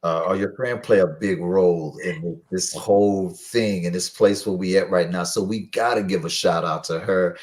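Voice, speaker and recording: A laid-back man hosts a radio interview with a slightly low voice. He talks casually, loose with slang and fillers, and often rambles before he lands on his question. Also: normal speed, hardly any pauses. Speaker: radio show host.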